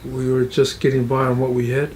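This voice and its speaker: A man's voice: medium pitch, completely flat delivery, a little fast, a little mellow, a little hiss or hum. A middle-aged man, earnestly sharing his experience in an interview, often repeating and restarting phrases. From Tonga, raised in New Zealand. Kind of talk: testimonial interview.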